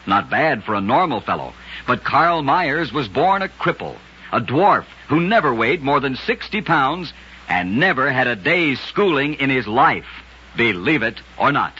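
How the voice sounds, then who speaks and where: A man's voice: medium pitch, big pitch swings, unhurried, sharp tone, husky, highs cut off. A man hosting an old-time radio show, narrating scripted oddities in a dramatic, emphatic way on a vintage recording. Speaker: radio storyteller and host.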